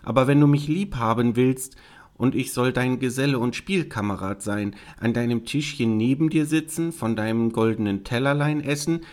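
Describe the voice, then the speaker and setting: Man voicing an insistent high-pitched storybook frog. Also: moderate pace, darkish tone, close to an everyday voice. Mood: bargaining. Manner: insistent, bargaining, emphatic delivery. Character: small pleading, insistent male frog from a fairy tale. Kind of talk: fairy-tale storybook character reading.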